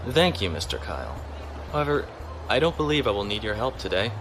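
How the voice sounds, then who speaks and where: An actor playing a resourceful military lieutenant on the run in a science fiction audio drama. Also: male voice, on the low side, very animated, fairly quick, fairly bright tone, soft background music. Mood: calm, steely.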